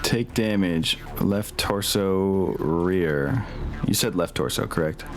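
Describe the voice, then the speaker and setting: A man's voice: low voice, takes it slowly, music in the background. A man acting as game master, chatting casually and narrating bits of a tabletop game.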